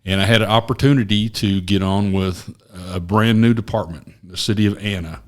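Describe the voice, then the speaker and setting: A man from Oklahoma with a very low voice tells his story as a podcast guest. He talks in a halting, rambling way, full of fillers and restarts. The talk is recorded in a small office studio. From Oklahoma, USA. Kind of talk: casual interview storytelling.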